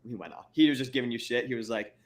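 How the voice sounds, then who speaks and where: A man's voice: medium pitch, rapid-fire. A man hosting a casual interview, rambling and stammering, often breaking into laughs. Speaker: podcast host.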